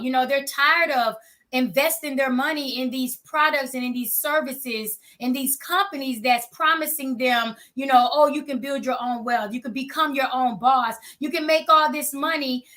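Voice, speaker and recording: Bright, high-voiced young woman guest. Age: young adult. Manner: earnest, many fillers like you know. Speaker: company founder and guest. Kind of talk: interview answers.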